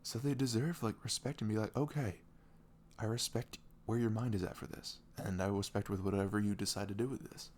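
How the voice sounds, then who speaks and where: A young man playing a sweet, caring boyfriend in an intimate ASMR roleplay, rambling casually in slangy, animated speech. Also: on the low side, brisk, slight background noise, close to an everyday voice. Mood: animated, exasperated. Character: sweet, caring, chatty boyfriend on a morning after. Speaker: audio roleplay voice actor.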